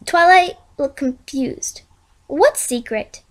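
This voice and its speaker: High, girlish female story reader. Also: slow pace. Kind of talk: storybook narration of fan fiction.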